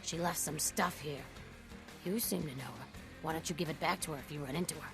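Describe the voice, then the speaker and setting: Game role: worn-out, kindly waitress, low gravelly voice, dry sarcasm, faint music. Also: female voice. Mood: reflective, kindly. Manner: dry, sardonic, unhurried. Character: jaded, kindly diner waitress. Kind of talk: video game voice acting.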